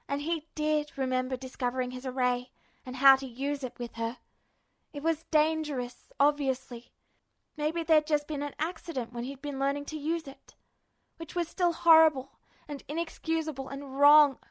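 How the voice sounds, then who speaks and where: A woman reading fan fiction aloud as a podfic, in emotive, measured narration. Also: high voice, no room sound. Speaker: podfic reader.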